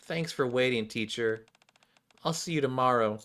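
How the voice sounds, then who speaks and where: A man voicing a surly, sharp-tongued schoolgirl from a game, curt and dismissive. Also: mid-range voice, normal speed, natural voice. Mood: guarded, weary.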